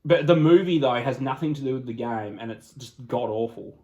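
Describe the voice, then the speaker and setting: A man talks casually as a podcast host chatting about films. His pitch is middling, and he is blunt and swears freely. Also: brisk, a little mellow.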